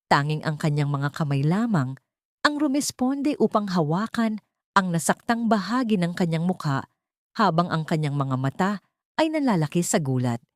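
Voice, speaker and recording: A woman storyteller reads scripted drama with highly varied intonation. Her voice sits a little low. The recording is close and dry, without room sound. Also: a little mellow, no echo. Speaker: storyteller, narrator.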